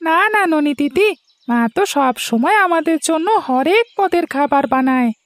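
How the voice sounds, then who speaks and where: A woman's voice: sits high, animated, normal speed, no echo. A woman voicing an innocent, friendly little village boy in a cartoon fairy tale, childlike and pleading. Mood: cheerful, earnest. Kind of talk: animated fairy tale dialogue.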